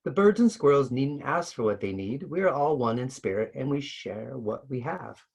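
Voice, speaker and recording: A man's voice: medium pitch, lively intonation, normal speed, vocal fry, a little mellow, a little hiss or hum. A man voicing ancient, wise trees in a storybook, earnest and reasonable. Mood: earnest pleading. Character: ancient, gentle, wise talking trees of the forest. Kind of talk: storybook read-aloud.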